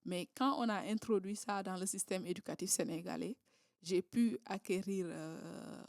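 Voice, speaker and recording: A woman's voice: mid-range voice, normal speed, vocal fry, a little mellow, dry recording, clean studio sound. A woman from southern Senegal, a Creole speaker, talking in a casual interview with many fillers and restarts. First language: Creole, Diola and Wolof. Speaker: guest.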